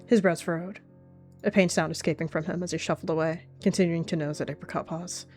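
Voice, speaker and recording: A woman narrating a roleplay story in a steady, descriptive way. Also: lowish voice, fast, soft background music. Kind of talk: narrating a warrior-cat roleplay.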